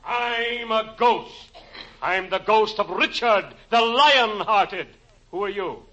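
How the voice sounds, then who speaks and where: An actor playing the spooky ghost of a medieval king in 1940s radio comedy, with a drawn-out delivery. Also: sits high, pushed and bright, exaggerated voice. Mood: eerie, proud. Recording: muffled, noisy vintage radio recording.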